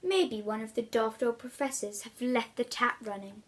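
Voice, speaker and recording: A woman's voice: fairly high pitch, normal speed, close to an everyday voice. A woman voicing a burly male museum security guard in a children's storybook. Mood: puzzled.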